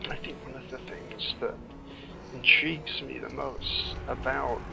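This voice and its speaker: A man giving casual, rambling playthrough commentary on a video game, halting and trailing off mid-thought. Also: medium pitch, steady tone, vocal fry, very noisy. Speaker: gameplay commentator, screenwriter. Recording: game music behind commentary. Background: video game music.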